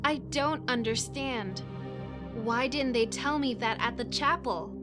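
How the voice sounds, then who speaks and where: A woman's voice: on the high side, a little slow, over music, lightly acted. A woman acting a young angel, distrustful and easily frightened, in a sci-fi visual novel. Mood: indignant, confused.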